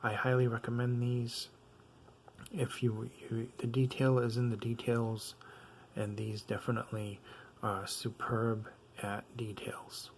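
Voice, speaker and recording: A man's voice: medium pitch, level tone, unhurried, slight background noise. A man with a mixed Floridian and New England accent, reviewing earphones at his desk in a rambling, filler-heavy, enthusiastic way. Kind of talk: casual product review.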